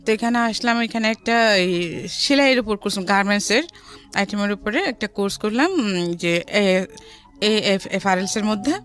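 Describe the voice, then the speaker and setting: Interview guest: middle-aged Bangladeshi woman, mid-pitched, conversational personal storytelling with English words mixed in, light music underneath. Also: normal speed, fairly bright tone. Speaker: interview guest telling her life story. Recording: music behind the voice.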